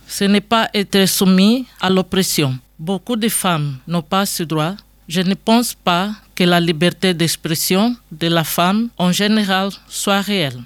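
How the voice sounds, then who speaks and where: Woman from Angola, earnest steady reader, dry studio-close sound. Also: on the low side, dry recording. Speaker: testimony speaker. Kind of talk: reading a prepared testimony.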